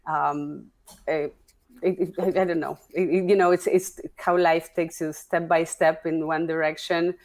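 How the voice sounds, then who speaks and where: A woman, a guest talking through her career in a relaxed interview, pausing and restarting as she thinks. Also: low voice. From Argentina. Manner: halting, restarts often, thinking aloud. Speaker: guest, opera singer and stage director. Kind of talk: casual interview conversation.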